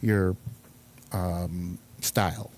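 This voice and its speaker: A man hosting a casual interview show, speaking in a halting, hesitant manner. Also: sits low, fairly even intonation, a little mellow, a little hiss or hum. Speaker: talk show host.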